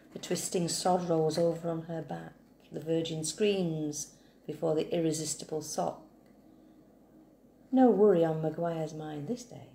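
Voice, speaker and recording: Female poetry reader, low and creaky, measured delivery, roomy echo. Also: mellow. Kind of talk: slow, measured poetry recitation. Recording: echoey room, faint background hiss.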